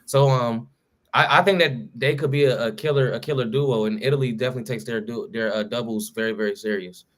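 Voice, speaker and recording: A man's voice: mid-range voice, steady tone, fast talker. A man co-hosting a casual sports talk show, talking loosely in slang with lots of fillers and restarts. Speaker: podcast co-host.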